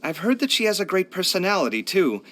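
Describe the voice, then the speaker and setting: Bright, expressive young architect. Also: male voice, high voice, quick pace. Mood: thoughtful, admiring. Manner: chatty, candid, expressive, sighing. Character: young male architect, proud, earnest, expressive, hard-luck. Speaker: video game voice actor. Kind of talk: video game character voice lines.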